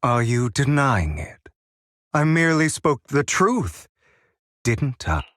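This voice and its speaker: A man's voice: medium pitch, big pitch swings, slow, a bit bright, no room sound, clean studio sound. An actor playing a suave, proud, vengeful young swordsman in a video game, speaking in a pompous, mocking way. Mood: smug, mocking. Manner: pompous, sardonic, theatrical. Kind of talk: dramatic video game voice acting.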